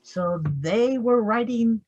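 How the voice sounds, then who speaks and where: A woman's voice: on the high side, slow pace, a little mellow. A woman moderating a panel discussion in a conversational way, heard through a dull, lo-fi microphone.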